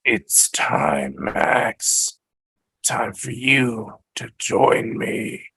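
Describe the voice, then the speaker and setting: A performer in a fan table read playing a sinister male monster, with a rasping, gravelly voice and slow, menacing delivery. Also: medium pitch, lively intonation, pushed and bright, dry recording, full character voice. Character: Vecna, slow sinister male monster luring victims to death.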